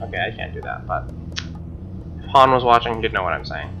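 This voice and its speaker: A man's voice: lowish voice, expressive, fairly quick, vocal fry, sharp tone, a little hiss or hum. A man doing a let's play, reading visual novel narration aloud with casual commentary over game music, on a lo-fi mic.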